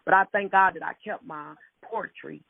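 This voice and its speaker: Husky woman poet on a phone line. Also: on the low side, normal speed, dry recording. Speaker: poet and interview guest. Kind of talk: conversational, reflective talk and poetry reading. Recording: tinny, phone-like radio call-in line.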